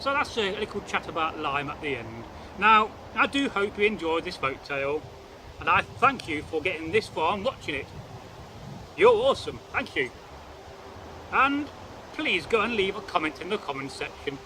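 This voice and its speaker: A man telling a folk tale as a storyteller, in a deliberate, repetitive way with old-fashioned phrasing. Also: high-pitched, big pitch swings, sharp tone, slight background noise, poor audio. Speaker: channel host and storyteller.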